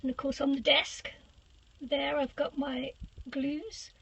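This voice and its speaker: An older woman with a squeaky voice giving a rambling, hesitant craft room tour from a small boat cabin, filming on a handheld phone. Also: little pitch movement, slow pace, vocal fry, a bit bright, slight background noise, low-quality recording. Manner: rambling, hesitant with many fillers, giggly. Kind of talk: casual live-stream tour.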